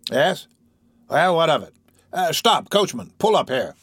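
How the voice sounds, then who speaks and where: A man's voice: mid-range voice, lively intonation, moderate pace, fairly bright tone, no room sound. Man acting blustering doctor, irritable, brusque, bustling, exclamatory, audiobook character. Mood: bustling, excited.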